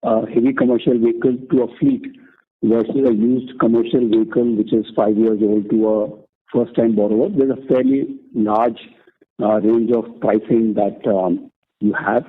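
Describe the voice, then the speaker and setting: A man explaining as an executive on an earnings call, deliberate and halting, over a conference phone line. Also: lowish voice, fairly even intonation. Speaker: company executive answering analysts.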